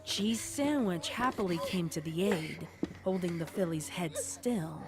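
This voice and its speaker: A woman's voice: lowish voice, animated, a little slow, over loud music. A woman narrator reading a scripted story with dramatic storytelling delivery.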